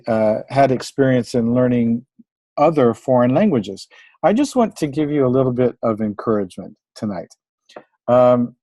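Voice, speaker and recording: A middle-aged man teaches a language webinar in an easygoing, encouraging way, sprinkling in small fillers. His voice is mellow and warm, at an ordinary pitch. The recording is close and dry, with no room sound. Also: dry recording. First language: English. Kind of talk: webinar presentation.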